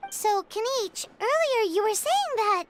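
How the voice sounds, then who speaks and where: A woman voicing a small, chirpy, childlike fairy companion in a video game, squeaky, eager and quick to scold. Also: noisy background, exaggerated voice. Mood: curious. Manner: speaks of self in third person, excitable.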